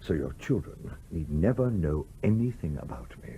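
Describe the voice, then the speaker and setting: An actor in a radio play voicing the blustering, bossy ghost of a sea captain, with old-fashioned oaths and an exasperated, commanding manner. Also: male voice, deep voice, big pitch swings, normal speed, warm tone, a little hiss or hum. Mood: brisk, commanding.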